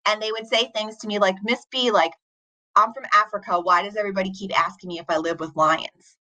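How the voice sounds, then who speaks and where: Bright-voiced woman telling a story. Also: medium pitch, a little fast, low-quality recording. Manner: earnest, steady and reflective. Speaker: teacher and author, podcast guest. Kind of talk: storytelling in an interview.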